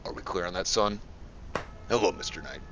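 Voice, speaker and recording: An actor playing a gruff, sardonic veteran police detective. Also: male voice, deep voice, lively intonation, a little fast, a bit bright, muffled recording, slight background noise. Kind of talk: amateur motion comic voice acting.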